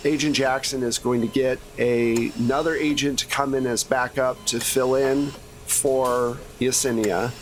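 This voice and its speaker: A man's voice: mid-range voice, a little hiss or hum. Conversational man running a tabletop game. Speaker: game master and show host. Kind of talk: conversational game-mastering on an actual-play podcast.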